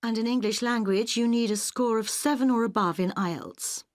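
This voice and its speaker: A woman acting a polite, efficient university admissions officer in a scripted listening-test dialogue, speaking clearly. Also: fairly high pitch, moderate pace, no room sound, clean studio sound, close to an everyday voice. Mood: polite, businesslike. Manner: polite, clearly enunciated, businesslike.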